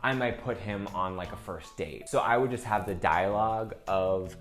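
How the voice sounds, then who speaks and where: A man with a fairly low voice gives an informal comedy lesson. He talks conversationally, sprinkling in "like" as he explains, with faint music underneath. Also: normal speed. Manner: conversational, peppered with "like". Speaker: comedian teaching character reels. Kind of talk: casual instructional talk.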